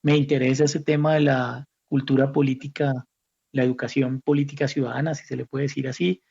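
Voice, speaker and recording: A man's voice: medium pitch, flat delivery, brisk, creaky, darkish tone, breathy edge. A Colombian man speaking as an academic guest in an interview, thinking aloud reflectively and often repeating words as he searches for the next one. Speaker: academic guest, institute director. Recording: slightly dull, muffled audio.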